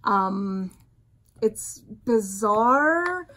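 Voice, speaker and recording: A woman's voice: mid-range voice, animated, very slow, pushed and bright. A middle-aged woman co-hosting a casual podcast, rambling with many fillers and trailing off. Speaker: podcast co-host. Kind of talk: casual chat.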